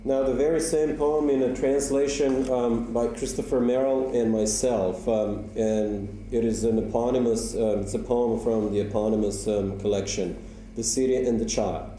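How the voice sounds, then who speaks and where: Hesitant poet in an echoey hall. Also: male voice, mid-range voice, steady tone, normal speed, dark tone, distant mic, slight background noise. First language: Slovenian. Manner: hesitant, many ums and uhs. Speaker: poet giving a reading. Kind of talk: live poetry reading with spoken introductions. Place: university lecture hall.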